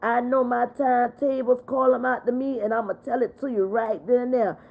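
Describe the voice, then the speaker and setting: A woman in her fifties hosting a casual livestream chat, reading out viewer comments and answering them in an animated way. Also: fairly high pitch, fairly quick, vocal fry. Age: 50s. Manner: animated, talks back to viewers. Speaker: livestream host. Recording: phone-like narrow sound.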